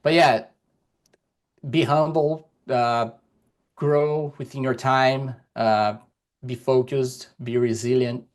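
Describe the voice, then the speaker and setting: A man from Brazil, a native Portuguese speaker with a Brazilian accent, speaking as a podcast guest over a video call in a deliberate, encouraging way with many fillers. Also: mid-range voice, steady tone. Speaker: guest, security executive. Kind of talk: podcast interview. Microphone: remote video call.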